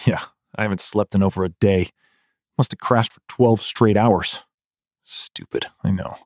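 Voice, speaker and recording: A woman doing a weary, low-voiced man. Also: fairly even intonation, measured pace, no room sound, studio quality. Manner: tired, mumbling and apologetic. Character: weary, wary adult man crawler.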